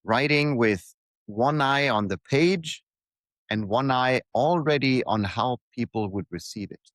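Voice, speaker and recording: A man reading his own reflective motivational piece aloud in deliberate short phrases, leaning on repetition for emphasis. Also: mid-range voice, fairly bright tone, dry recording.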